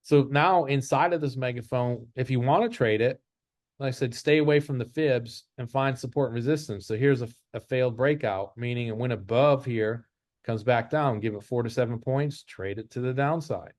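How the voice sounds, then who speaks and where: A man's voice: mid-range voice, normal speed, a little mellow, no echo, studio quality. A man giving an informal lesson as an instructor, explaining step by step and repeating his rules. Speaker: trading instructor. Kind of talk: informal chart-based lesson.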